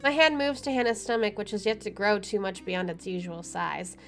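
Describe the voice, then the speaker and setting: A woman with a mid-pitched voice plays through a story game. She reads its text aloud and slips into wry, chatty commentary. Faint game music runs underneath. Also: steady tone, a little fast, a bit bright. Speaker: let's play streamer.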